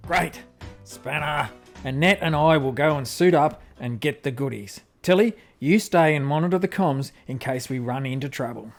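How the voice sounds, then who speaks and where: A man's voice: a little high, normal speed, soft background music, close to an everyday voice. An amateur actor playing an adventurous, easy-going male spaceship skipper in a radio play. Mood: brisk. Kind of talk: radio drama acting.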